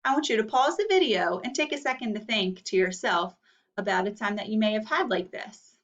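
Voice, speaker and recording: A woman's voice: medium pitch, expressive, brisk. A woman teaching a read-aloud lesson for children, explaining instructionally and posing guiding questions. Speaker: elementary teacher. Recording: dull, distant microphone.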